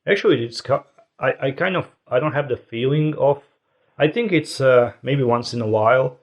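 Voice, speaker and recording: A man's voice: mid-range voice, lively intonation, moderate pace, lo-fi sound. A non-native English-speaking man co-hosting a comedy podcast in casual chat, self-deprecating and thinking aloud with restarts. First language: not English. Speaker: podcast co-host.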